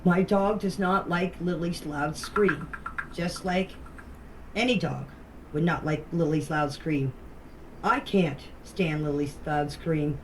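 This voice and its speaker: A woman's voice: sits low, takes it slowly. Blunt woman in noisy voice messages. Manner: defensive, blunt, emphatic. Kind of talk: informal recounting in voice messages. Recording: faintly noisy voice-message recording.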